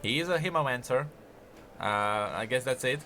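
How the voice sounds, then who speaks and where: A man giving informal explanatory commentary on game lore, animated and halting as he thinks aloud. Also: medium pitch, a little hiss or hum.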